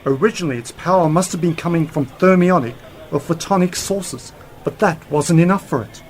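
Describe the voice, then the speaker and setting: A man's voice: on the high side, brisk, natural voice. A man acting as a brilliant, confident space-travelling scientist uncle in a radio drama, explaining things in an assured, theatrical way. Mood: lecturing. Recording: noticeable background noise.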